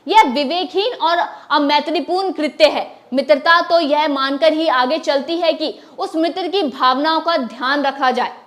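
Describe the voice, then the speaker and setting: Female news presenter, notably high pitch, formal read-aloud commentary with the odd stumble. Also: unhurried, few pauses, a bit bright.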